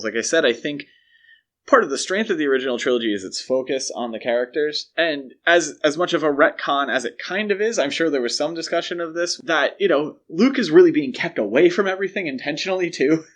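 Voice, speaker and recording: A man co-hosting a podcast, talking casually and explaining his measured opinions on pop culture. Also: on the high side, expressive, fairly bright tone. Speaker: podcast co-host.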